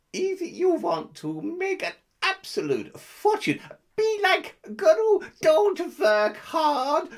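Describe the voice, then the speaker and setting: A woman playing a boastful, lazy self-styled guru, telling a mock-grand story in a slow drawl with made-up words. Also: high voice, full character voice. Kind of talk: comedic character monologue.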